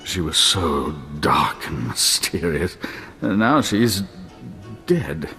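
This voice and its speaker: A man's voice: low-pitched, animated, slow, gravelly, bright, forceful tone, faint music behind, heavily acted. A man voicing a pampered young nobleman held captive, whiny, indignant and stammering. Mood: shaken.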